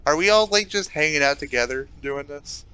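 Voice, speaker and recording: A man playing a tabletop game, laid-back and casual. His voice is bright and smooth. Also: a little high, expressive, brisk. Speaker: player.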